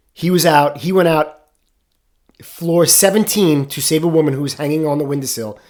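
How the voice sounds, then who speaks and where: A middle-aged man hosting a casual solo podcast, stuffed up and nasal from a sinus infection, rambling candidly. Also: a little high. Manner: rambling, candid, occasional swearing. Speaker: podcast host, firefighter.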